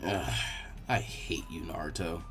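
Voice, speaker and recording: Man acting teenage ninja boy, deadpan lazy drawl, dropped low pitch, grumbling, storybook reading over music. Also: unhurried, breathy edge, exaggerated voice. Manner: unimpressed, sighing drawl.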